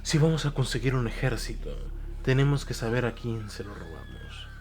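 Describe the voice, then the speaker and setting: A man's voice: mid-range voice, big pitch swings, normal speed, background noise. A man voicing a proud, defiant warlord who commands his champions in a scornful, authoritative manner for an audio drama. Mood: commanding.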